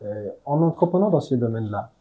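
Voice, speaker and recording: Host: man, rapid polite questioning, warm dark tone. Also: mid-range voice, lively intonation, rough recording. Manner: polite and formal, frequent murmured acknowledgements. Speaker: interview host. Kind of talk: formal interview questions.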